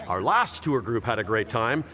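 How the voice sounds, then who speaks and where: An actor playing a cheerful veteran bus tour guide and relentless dad-joker in a radio play, with a showy, punning delivery. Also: male voice, mid-range voice, very animated, normal speed, a crowd in the background, lightly acted. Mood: jovial, showy.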